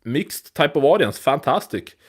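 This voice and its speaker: A man's voice: fairly high pitch, very animated, normal speed, husky. A man in his twenties hosting a casual live stream, talking to viewers in a rambling way with many restarts and fillers, into a phone. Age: 20s. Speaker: livestream host.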